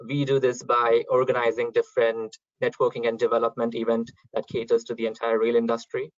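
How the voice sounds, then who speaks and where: A man hosting an online event, polite and organised, pausing often with small hesitations. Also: medium pitch, monotone, no echo. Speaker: event host. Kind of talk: webinar hosting. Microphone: video call. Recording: dull, dry online call audio.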